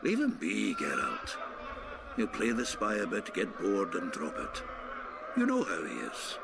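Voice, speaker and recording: An actor playing a proud, loyal dwarf warrior with a blunt, folksy manner in a video game. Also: male voice, sits low, huge pitch swings, unhurried, gravelly, very noisy, heavily acted. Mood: placating. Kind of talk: video game cutscene acting.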